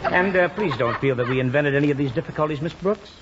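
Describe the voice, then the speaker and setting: A man's voice: fairly high pitch, fast, lightly acted. A man playing a slippery, mock-sincere American garage mechanic in a vintage radio comedy. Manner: wheedling, mock-sincere, hesitating while totting up. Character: fast-talking, slippery garage mechanic, shamelessly overcharging. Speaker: actor. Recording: noisy muffled old radio broadcast, audience behind.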